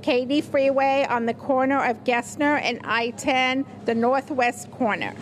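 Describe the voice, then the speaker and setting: A woman shop owner answering live interview questions in her deli, agreeable and quick to affirm, speaking into a reporter's handheld mic. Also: high voice, a little hiss or hum, poor audio. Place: inside her deli and market.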